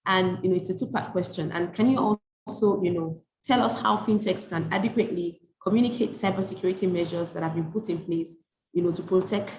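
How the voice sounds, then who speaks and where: A woman moderating a panel discussion, asking questions in a measured way with frequent "you know". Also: on the low side, darkish tone. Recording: echoey room with faint noise.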